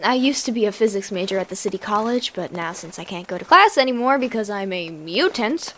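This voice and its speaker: A woman voicing an upbeat, excitable young female mutant lizard, a chatty idealist, in a comic dub. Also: mid-range voice, a little fast, a little hiss or hum, lightly acted. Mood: earnest.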